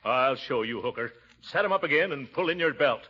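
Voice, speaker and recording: A man's voice: mid-range voice, lively intonation, a little fast, slightly rough. An actor playing a blustering, pompous middle-aged uncle in a 1940s radio comedy, chuckling as he goes. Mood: blustering, needling. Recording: muffled 1940s radio recording.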